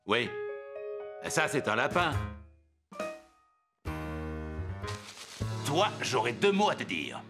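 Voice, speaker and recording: A man acting an excitable, exclamatory adult character in a dubbed children's cartoon. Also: medium pitch, a little slow, music in the background. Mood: suspicious. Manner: exclamatory, expressive.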